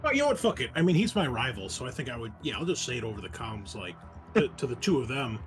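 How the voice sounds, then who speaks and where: A man playing a tabletop game, chatting casually and thinking his moves out loud. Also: medium pitch, big pitch swings, fast, faint music behind.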